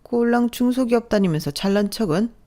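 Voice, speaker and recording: A woman voicing a domineering middle-aged mother-in-law who sneers and belittles in a sharp, high voice, as part of a told story. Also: brisk, lightly acted. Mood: scolding. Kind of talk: storytelling with acted dialogue.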